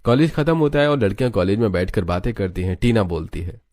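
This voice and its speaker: A man's voice: lowish voice, animated, fast, darkish tone. A man narrating a scripted story as its narrator.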